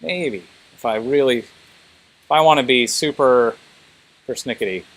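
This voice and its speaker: A man's voice: medium pitch, measured pace. A man teaching a class informally, thinking aloud and prodding students with questions.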